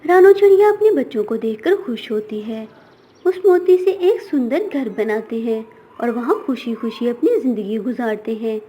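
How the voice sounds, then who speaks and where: A woman's voice: fairly high pitch, lively intonation, moderate pace, breathy edge, dull audio. A woman storyteller reading scripted narration for a children's story.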